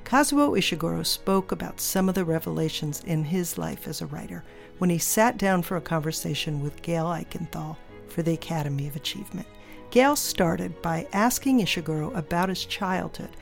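A woman host reading a scripted narration in a measured, deliberate way. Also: lowish voice, creaky.

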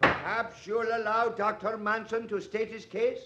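A firm, fair committee chairman in a 1940s-style radio play. His high voice is formal and authoritative. The recording has a dull, aged broadcast sound. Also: little pitch movement, unhurried, bright, forceful tone.

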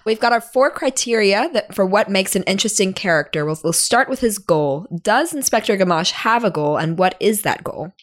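A woman co-hosting a podcast, hoarse and scratchy from losing her voice, who asks friendly guiding questions. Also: mid-range voice, animated, normal speed, hardly any pauses. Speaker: podcast co-host and editor.

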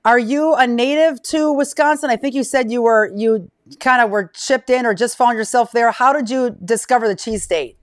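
A woman's voice: a little high, normal speed, hardly any pauses, dry recording. Woman, podcast host, chatty, upbeat, dry sound. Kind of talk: interview podcast hosting.

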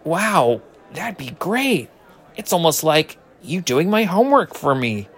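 Animated, higher-pitched eager schoolboy, played by a man. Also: measured pace, slightly rough, soft background music, exaggerated voice. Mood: eager, sheepish. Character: eager, bashful ninth-grade boy, brash best buddy. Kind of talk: audio drama dialogue.

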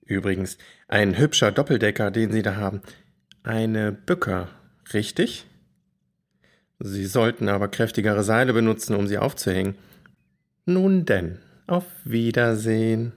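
Man playing a low-voiced, courteous, shady older stranger. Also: a little slow, close to an everyday voice. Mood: smooth, veiled threat. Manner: formal, old-fashioned politeness. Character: courteous older male stranger, smooth and faintly menacing. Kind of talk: children's audio drama acting.

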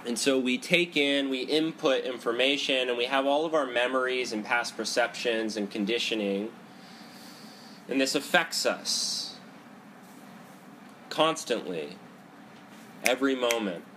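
A man with a head cold teaching a seated group about meditation, talking loudly in a conversational way and often checking in with "right?". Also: medium pitch, unhurried, long pauses, slight background noise, low-quality recording. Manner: loud talker; conversational, frequent "right?" and "you know". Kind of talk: Buddhist psychology talk to a group. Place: indoor room with a group, seated cross-legged.

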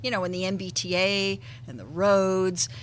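A lively woman from Connecticut chats as a guest, speaking in an animated way and breaking into laughs. Her voice sits at an ordinary middle pitch. A faint wash of restaurant sound runs behind her. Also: normal speed, a little hiss or hum, low-quality recording. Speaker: guest, arts leader. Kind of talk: casual conversation.

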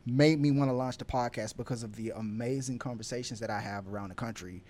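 A man's voice: mid-range voice, fast talker, a little mellow. A man hosting a podcast, chatting casually and animatedly with slang and frequent laughs. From Houston area resident, now Miami. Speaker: podcast host. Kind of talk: casual chat.

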